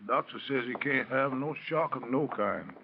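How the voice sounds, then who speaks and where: An actor playing an anxious, deferential servant with a Southern US accent and folksy speech in 1940s radio drama style. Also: male voice, mid-range voice, moderate pace, gravelly, close to an everyday voice. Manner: humble, folksy. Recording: muffled old radio recording with noise.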